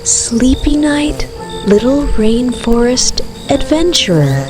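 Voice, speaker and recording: A woman narrating a scripted bedtime story for toddlers in a soothing, gentle, unhurried manner. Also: a little high, sing-song. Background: music with rainforest night sounds of rain, crickets and frogs.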